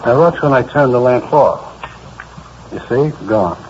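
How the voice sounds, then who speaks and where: A man's voice: medium pitch, expressive, unhurried, lightly acted. An American man playing a police crime lab expert in a 1950s radio drama, explaining things patiently in plain, folksy talk. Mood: patient, instructive. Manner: folksy, explanatory, matter-of-fact. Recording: dull 1950s radio recording, faint noise.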